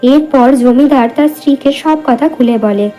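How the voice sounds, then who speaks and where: A woman's voice: high-pitched, normal speed, warm tone, soft background music, low-quality recording. A woman narrating a scripted cartoon moral story as a storyteller.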